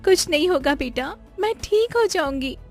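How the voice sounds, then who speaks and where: A woman's voice: high-pitched, animated, moderate pace, soft background music, pitched above the performer's own voice. A woman voicing an anxious, pleading young village wife and mother in a cartoon story. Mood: reassuring, weak.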